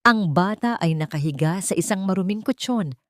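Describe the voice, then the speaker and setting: A woman's voice: fairly low pitch, lively intonation, normal speed, no echo, clean studio sound. A woman narrating a dramatic audiobook in a tense, dramatic reading style.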